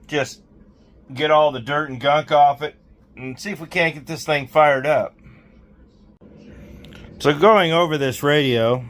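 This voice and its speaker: A man's voice: medium pitch, a bit bright. A man hosting a hands-on repair video in a casual, folksy, jokey way with colloquial wording. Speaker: host of a CB radio repair video.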